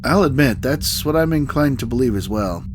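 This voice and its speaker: Starship captain, man acting, mid-pitched, calm authority, measured orders, sci-fi audio drama over clear ambient noise. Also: natural voice. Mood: brisk, authoritative.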